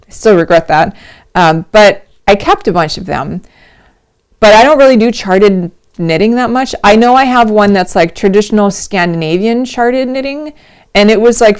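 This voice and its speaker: A middle-aged woman, a little hoarse, hosting a casual livestream from home, chatty and rambling with frequent laughs. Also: mid-range voice. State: slightly hoarse. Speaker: host of a knitting livestream.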